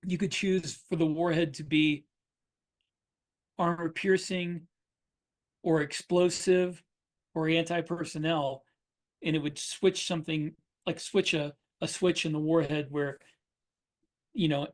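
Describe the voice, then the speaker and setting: A man's voice: on the high side, fairly even intonation, a little slow, darkish tone. A man chatting casually about his craft as a podcast host and writer. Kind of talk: casual shop talk.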